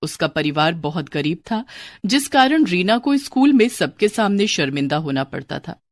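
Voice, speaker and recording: A woman's voice: fairly low pitch, very animated, moderate pace, no room sound, studio quality. A woman reading scripted narration for a children's story.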